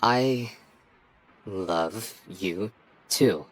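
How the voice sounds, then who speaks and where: A young man acting a flustered, bickering but sincere childhood friend in love, in an ASMR roleplay with halting, stammering delivery. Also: deep voice, exaggerated voice, poor audio. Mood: tender, awkward.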